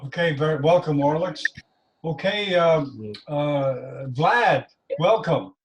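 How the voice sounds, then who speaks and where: A man moderating an online meeting as host, haltingly calling on participants over a video call. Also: on the high side, lively intonation. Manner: halting, calls on people by name. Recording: noisy video call with clicks.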